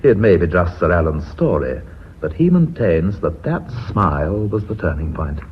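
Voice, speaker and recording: Knighted British company director, radio drama: commanding, formal, lively intonation, on a dull old recording. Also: male voice, low voice, a little hiss or hum. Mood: warm, reflective. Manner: measured, authoritative, formal.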